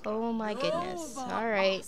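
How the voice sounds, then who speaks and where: A woman's voice: a little high, expressive, slow pace, over a noisy crowd. Sniffly young woman narrating a game. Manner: chatty, talks to her sims, frequent "like" and "um". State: sniffly with a cold. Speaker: gaming YouTuber. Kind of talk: casual let's play commentary.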